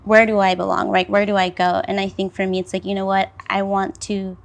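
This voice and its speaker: Woman, born El Salvador, raised North Carolina, chatty, creaky, even tone. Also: mid-range voice, a little fast. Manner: chatty, lots of "like" and "you know". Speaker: panelist, business founder. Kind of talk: casual panel talk.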